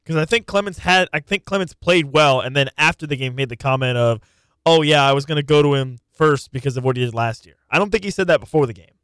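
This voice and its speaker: A man's voice: on the high side, quick pace, a bit bright, clean tone, no echo. A man co-hosting a sports talk show, chiming in often with short agreements. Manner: agreeable, chimes in with short affirmations. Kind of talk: casual sports chat.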